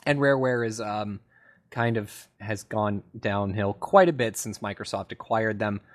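A man's voice: on the low side, a little slow. A man co-hosting a casual gaming podcast, talking conversationally and acting out imagined quotes as he goes. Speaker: podcast co-host. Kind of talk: casual video game podcast discussion.

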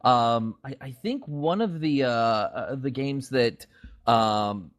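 A man's voice: mid-range voice, expressive, takes it slowly, no room sound. A man co-hosting a casual podcast discussion about games, speaking in an enthusiastic, emphatic way. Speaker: podcast co-host.

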